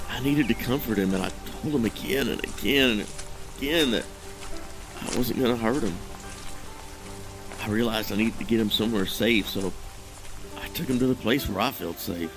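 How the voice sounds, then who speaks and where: An actor playing a troubled, guilt-ridden husband in an audio drama, recounting a memory in a halting, hesitant, brooding monologue. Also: male voice, fairly low pitch, over music, close to an everyday voice, rough recording. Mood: haunted.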